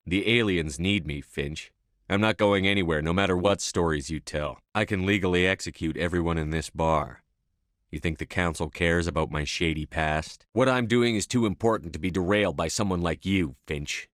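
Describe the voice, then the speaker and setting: A man's voice: low-pitched, fairly bright tone, no echo, studio quality. A male actor voicing a stern, commanding military officer in a science fiction video game, speaking with firm, clipped authority. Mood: cold, determined. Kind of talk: video game voice acting, dramatic dialogue lines.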